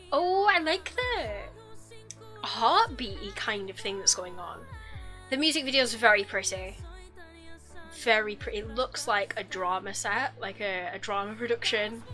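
Young woman, bright voice, chatty, self-correcting, small home room, desk mic. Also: a little high. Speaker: YouTube reaction host. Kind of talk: casual reaction commentary. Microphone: desk microphone, wearing headphones.

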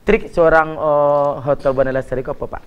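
A man's voice: fairly high pitch, fairly even intonation, moderate pace, smooth, big-room sound, lo-fi sound. A man co-hosting a studio talk show, joking and teasing as he asks questions, speaking into a handheld mic. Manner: joking, teasing, mixes local words. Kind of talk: playful studio banter. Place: television studio set.

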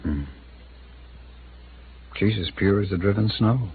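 A man's voice: on the low side, takes it slowly, husky, heavily acted. An actor playing a guarded, evasive male city attorney who hesitates and hints, in a 1950s radio drama. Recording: tinny lo-fi old radio broadcast with hiss.

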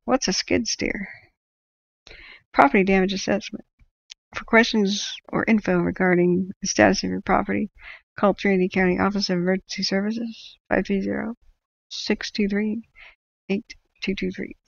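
A woman's voice: mid-range voice, fairly even intonation, moderate pace, vocal fry, long pauses, dull audio. A woman with an American accent reading updates informally on a livestream, chattily correcting herself and adding side comments. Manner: chatty, self-correcting, interjects small reactions. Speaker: livestream host tracking wildfires.

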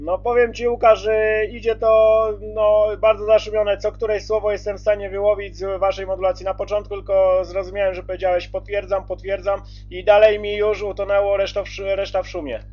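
A man's voice: high-pitched, level tone, a little fast, few pauses, darkish tone, dull audio. A man talking casually as a CB radio operator, repeating himself as he checks and confirms reception. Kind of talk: casual radio contact talk.

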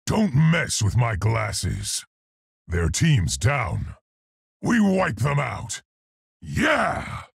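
A man voicing a large, earnest, polite and brave gorilla scientist for a video game, gruff and articulate in his delivery. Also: medium pitch, huge pitch swings, drawn-out words, no echo, clean studio sound, exaggerated voice. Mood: agitated, shouting. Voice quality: gruff, husky, gravelly. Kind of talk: video game character voice lines.

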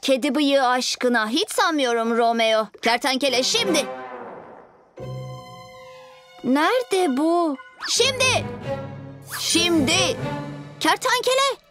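A brave young boy hero leading a cartoon team. The voice is high and commanding with an urgent edge, over light music. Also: expressive, slow pace. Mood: impatient. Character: bossy, brave young boy superhero leader in cat costume. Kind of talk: animated cartoon voice acting. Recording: music behind the voice.

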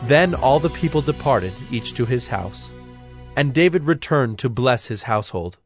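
A man narrating scripture from a script in a measured, formal way. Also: mid-range voice, expressive, faint music behind.

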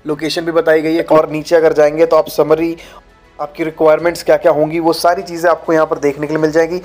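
A young man hosting a how-to video, walking viewers through a website in a friendly, chatty way and often calling them friends. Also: fairly high pitch. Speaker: YouTube channel host. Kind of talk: informal tutorial walkthrough. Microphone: lapel mic. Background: faint background music.

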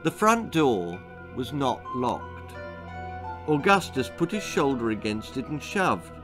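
An older man reads a spooky story for children. His delivery is measured and theatrical, building suspense. Music plays behind his mid-pitched voice. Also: big pitch swings. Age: older, sixties. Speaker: author reading his own children's story. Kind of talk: dramatic storytelling, spooky children's tale narration. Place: book-lined room indoors.

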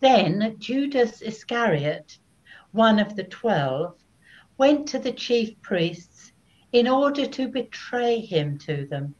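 Slow, expressive older woman narrating scripture. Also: on the high side. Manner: deliberate, expressive. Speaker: narrator (evangelist). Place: small room at home. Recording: slightly dull webcam recording.

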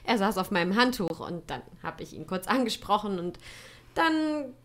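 A woman's voice: mid-range voice, sing-song, moderate pace, a bit bright. A woman in her thirties playing a chatty, conversational woman in an improvised audio drama. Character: chatty woman in a sauna recounting an encounter. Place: small home studio, indoors. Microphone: close studio condenser mic.

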